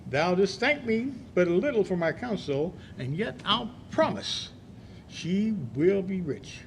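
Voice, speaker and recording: Guy playing lovesick polite gentleman friend, shakespeare audio drama, high voice, slow n very singsong, bit of noise. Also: full character voice, low-quality recording. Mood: teasing. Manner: measured, expressive declamation. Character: courteous, lovesick gentleman friend giving counsel. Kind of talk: theatrical Shakespearean audio play acting.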